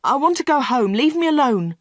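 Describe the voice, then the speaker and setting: A woman's voice: high-pitched, very animated, brisk, dry recording, clean studio sound, voice pushed up. A woman voicing a scared young man who pleads to be left alone, in a storybook reading. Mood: frightened.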